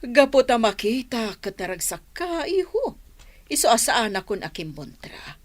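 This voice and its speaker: A woman's voice: fairly high pitch, sing-song, a little slow. An actress playing a caring, approving mother of a bridegroom in a radio drama. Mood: caring, pleased. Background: faint music.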